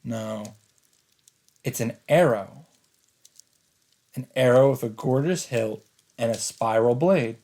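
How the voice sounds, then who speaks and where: A man reading visual novel narration aloud as a let's player, with deliberate dramatic pauses. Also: mid-range voice, mellow. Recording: game sound and noise behind.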